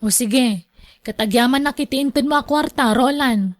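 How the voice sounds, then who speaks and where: A headstrong young single mother voiced by a woman in a radio drama, high in pitch and closely, dryly recorded. She sounds carefree and defiant, brushing others off. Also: moderate pace, no room sound, natural voice. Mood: firm, curt. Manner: defiant, dismissive. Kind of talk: Ilocano radio drama acting.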